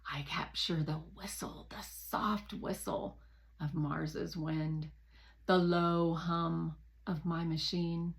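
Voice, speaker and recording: A woman narrating a children's novel aloud in an expressive read-aloud style, with deliberate emphasis for young listeners. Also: low-pitched, gravelly.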